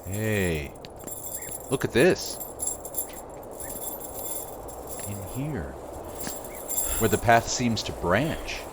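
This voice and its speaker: An actor playing a teasing, easygoing adventurer in a fantasy audio drama, with a casual delivery. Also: male voice, fairly low pitch, sing-song, slow, background noise. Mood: curious. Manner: casual, playful. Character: teasing, easygoing adventurer, the hero's old friend.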